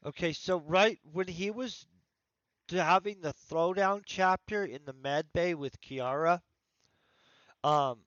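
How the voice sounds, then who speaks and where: A man's voice: on the high side, fairly bright tone, dry recording, highs cut off. A man talking casually on a livestream about writing his book, thinking aloud and rambling, his voice congested. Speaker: author streaming his writing session.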